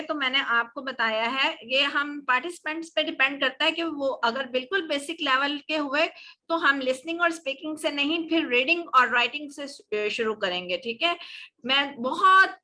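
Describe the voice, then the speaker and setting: High, bright-voiced Pakistani woman teaching. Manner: explanatory, code-switching between Urdu and English. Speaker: English teacher. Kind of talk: live online class question-and-answer.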